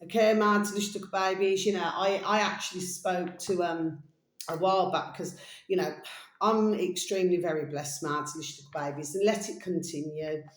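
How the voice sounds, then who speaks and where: A tired woman video blogger chatting casually and ramblingly to her viewers, pausing often and repeating pet endearments. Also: lowish voice. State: mentally tired, drained. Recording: echoey, roomy sound.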